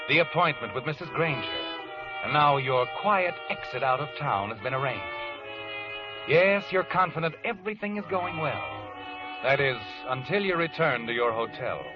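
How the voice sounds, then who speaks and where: An actor playing a sly, all-knowing narrator who needles the listener in a 1940s radio suspense drama. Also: male voice, fairly high pitch, close to an everyday voice. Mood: knowing, ominous. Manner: insinuating, with needling tag questions. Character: sardonic, all-knowing male narrator taunting the protagonist. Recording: muffled vintage radio recording. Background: dramatic background music.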